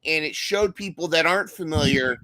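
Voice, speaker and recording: A man's voice: on the high side, brisk, bright, forceful tone, low-quality recording. A man co-hosting a casual recap podcast, talking enthusiastically and often restarting his sentences. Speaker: podcast co-host.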